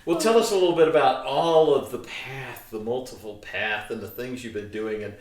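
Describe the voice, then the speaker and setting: A man's voice: medium pitch, normal speed. A male interviewer visiting a guest's home, chatty and playful, paying compliments as he introduces her. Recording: echoey room, distant mic.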